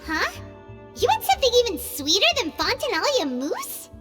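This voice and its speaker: A woman voicing a tiny, cheerful, chatty fairy companion with a squeaky childlike voice in an anime game. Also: wildly swooping pitch, moderate pace, long reverb tail, music in the background, exaggerated voice. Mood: puzzled, curious.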